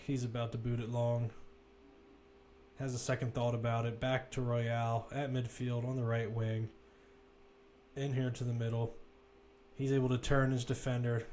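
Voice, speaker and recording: A man's voice: medium pitch, darkish tone. Man calling football over background noise. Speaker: play-by-play football commentator. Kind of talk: casual live audio match commentary.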